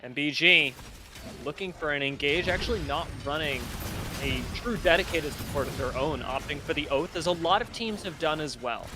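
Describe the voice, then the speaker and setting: A man's voice: fairly high pitch, lively intonation, normal speed, noisy background. A man giving live esports commentary and analysis in a conversational, analytical way. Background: faint video game sounds.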